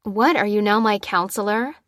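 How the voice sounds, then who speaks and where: A woman voicing a wry, quick-witted heroine in audiobook dialogue. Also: mid-range voice, fairly bright tone, dry recording, studio quality, natural voice.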